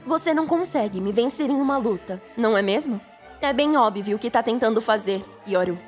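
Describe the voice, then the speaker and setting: A woman's voice: on the high side, expressive, a little fast, lightly acted. A Brazilian woman voicing a cheerful, self-righteous schoolgirl with an earnest, menacing streak in an anime-style fan dub. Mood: defiant. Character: cheerful, self-righteous schoolgirl turned justice devil contractor. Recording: music behind the voice.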